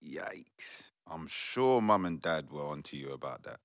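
A man's voice: a bass voice, expressive, unhurried, fairly bright tone, dry recording, clean studio sound, exaggerated voice. A young man acting a laid-back, supportive brother in a modern audio drama. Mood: casual, concerned. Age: young adult.

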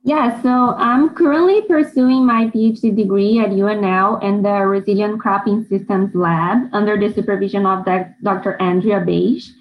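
A woman's voice: mid-range voice, steady tone, normal speed, few pauses. Young woman from Brazil, PhD guest, earnest, slightly muffled recording. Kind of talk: self-introduction in interview.

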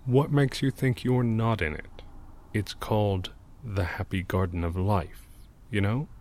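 A man's voice: fairly low pitch, measured pace, a little mellow, no echo, close to an everyday voice. A man playing a gloomy, cynical old mural painter in an audio drama, speaking in a sardonic, world-weary manner. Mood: weary explaining. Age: older.

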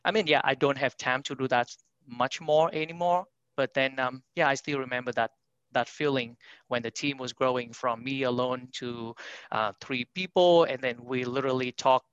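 A man's voice: medium pitch, normal speed, fairly bright tone, clean tone, no echo. Man from Vietnam, dull dry audio. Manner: frequent fillers, non-native grammar. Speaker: company founder and CEO. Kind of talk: podcast interview.

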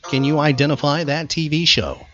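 A man's voice: fairly high pitch, fairly even intonation, brisk, sharp tone, muffled recording, faint music behind. A man hosting a podcast trivia quiz, putting questions to a contestant. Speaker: podcast host and quizmaster.

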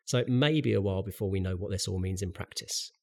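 A man's voice: low voice, fast talker, vocal fry, darkish tone. A man hosting a podcast, reading a scripted pensions news update with dry, wry asides, sounding a little bunged up from a cold. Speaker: podcast host.

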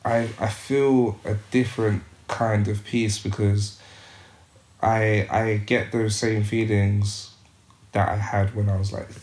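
Young male podcast guest, low voice, thoughtful and halting, roomy echo. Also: level tone, a little mellow. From United Kingdom. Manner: reflective, frequent restarts and "um"s. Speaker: podcast guest, youth worker. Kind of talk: casual interview conversation.

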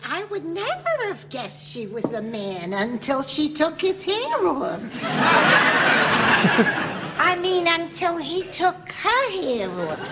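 A very high, sing-song voice that swoops all over as a sweet, flustered sitcom housewife, talking slowly and stumbling over her words. It comes from an old TV sitcom soundtrack. A studio audience laughs loudly behind her, and there is noise under the audio. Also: sing-song, a loud crowd, heavily acted. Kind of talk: 1970s studio sitcom acting.

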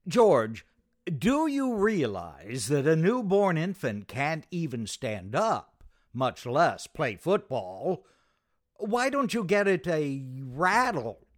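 An actor playing a sensible, wry best friend in an old-time radio sitcom, measured and dryly exasperated. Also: male voice, on the high side, huge pitch swings, heavily acted.

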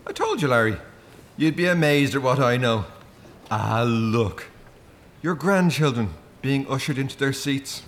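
An actor in a radio play playing a stern, knowing spirit guide with an Irish accent and a wry, pointed, reproachful delivery. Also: male voice, mid-range voice, lively intonation, unhurried, slight background noise, close to an everyday voice.

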